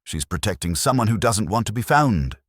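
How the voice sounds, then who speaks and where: A man's voice: fairly low pitch, very animated, brisk, breathy edge, no room sound, clean studio sound, voice pushed up. A man voicing a cool, composed, assertive female publicist in a detective audiobook. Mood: insistent.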